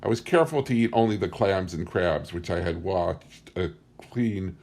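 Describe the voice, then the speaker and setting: A man's voice: low-pitched, moderate pace, a little mellow. A man reading a book aloud as scripted story narration. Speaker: audiobook reader.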